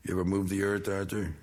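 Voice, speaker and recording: An actor playing a needling, bitter middle-aged American war veteran with folksy, slangy talk in a vintage TV drama. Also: male voice, deep voice, a little mellow, close to an everyday voice. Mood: mocking. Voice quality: gravelly. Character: needling, bitter male war veteran, rough working man. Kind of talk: 1960s television drama acting.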